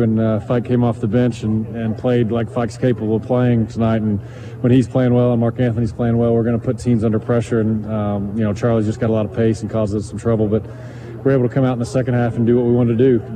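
A middle-aged man, a soccer coach, giving a matter-of-fact, analytical post-game interview into a handheld microphone outdoors. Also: medium pitch. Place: outdoors on a soccer field at night. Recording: clear outdoor field noise.

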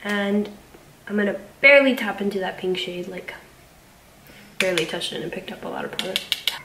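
A woman's voice: medium pitch, moderate pace, pushed and bright, distant mic, a little hiss or hum, poor audio. A young woman sounding congested, chatting casually through a makeup tutorial with lots of ums and likes, in a small bedroom. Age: young adult. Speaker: beauty vlogger.

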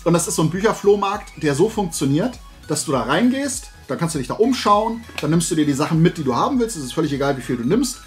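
Casting: middle-aged male vlogger, fairly high voice, rambling enthusiast, music underneath. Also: sing-song, quick pace. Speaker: YouTube host and collector. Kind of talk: casual haul vlog chat. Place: indoor room full of shelved toys.

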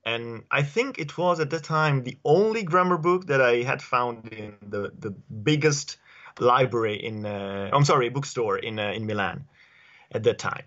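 A man in his forties with an Italian accent, answering interview questions at home in a halting way with many fillers and restarts, speaking on a video call through an earphone mic. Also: mid-range voice, fairly bright tone. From Italy. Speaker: guest. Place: home study with bookshelves. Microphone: earphone headset mic on video call. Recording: dull lo-fi video call audio.

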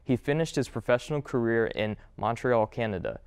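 A young man hosts a scripted college video, introducing the next speakers in a polished, even tone. His voice is smooth and resonant. He is recorded close on a clip-on mic in an indoor studio. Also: mid-range voice, a little fast. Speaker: student host. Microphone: lapel mic.

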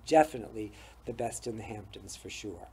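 A man's voice: fairly low pitch, animated, a little fast, a little mellow, breathy edge. Chatty older male talk show host. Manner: chatty, laughs often, stumbles and repeats words. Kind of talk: casual interview chat. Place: small TV studio set with bookshelves.

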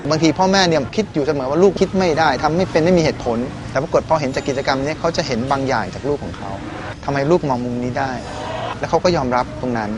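A man's voice: fairly high pitch, quick pace, noisy background. A man giving informal interview remarks as an activity facilitator.

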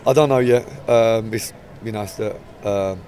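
English football boss, 40s, pretty flat tone, careful and halting, outside at a stadium, mic held up close, slight background noise. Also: male voice, fairly low pitch. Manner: measured, hesitant, many restarts. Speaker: football manager. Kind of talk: post-match interview. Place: outdoors by a football pitch in a stadium. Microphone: handheld mic held up close.

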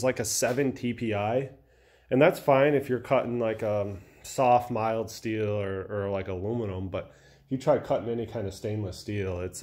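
Low-voiced American man, casual and blunt. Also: darkish tone. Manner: informal, blunt, halting with self-corrections. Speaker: welder-fabricator reviewing a tool. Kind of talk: casual unscripted product review. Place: indoor metalworking garage workshop.

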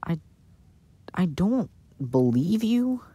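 A man doing a casual, intimate ASMR boyfriend roleplay in a soft-spoken, playful way, recorded outdoors. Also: sits high, very animated, slow pace, breathy edge, dry recording. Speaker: audio roleplay performer playing a boyfriend. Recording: outdoor recording with background noise. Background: outdoor ambience and noise.

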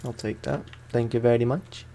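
A man's voice: medium pitch, level tone, moderate pace, gravelly, mellow, a little hiss or hum. A man giving casual, rambling let's-play commentary with informal slang, chatting to viewers as he plays. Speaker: gaming content creator, let's play host. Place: room at home.

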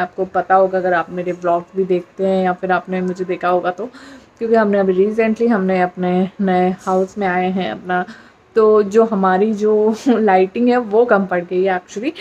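A woman's voice: mid-range voice, a little mellow, poor audio. A woman from India chats casually to her viewers as a vlogger, mixing English words into her talk.

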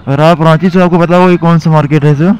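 A young man, narrating casually to viewers while riding a motorbike through city streets, talking in halting bursts. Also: on the high side, fairly even intonation. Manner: chatty, halting, addresses viewers as guys. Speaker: motovlogger. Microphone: helmet or bike-mounted action camera mic. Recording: muffled mic with traffic noise.

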